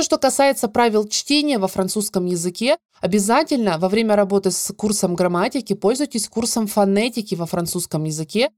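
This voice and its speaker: A woman's voice: mid-range voice, darkish tone, no room sound, clean studio sound. Woman teaching French grammar in Russian. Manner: clear, measured explaining, drawn-out example words. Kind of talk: scripted grammar lesson narration.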